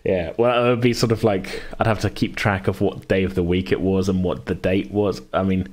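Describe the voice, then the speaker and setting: A man's voice: deep voice, fairly even intonation, a little fast, a little mellow. A British man hosting a casual chat about a tabletop game, rambling and jokey as he talks. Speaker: podcast host and game master.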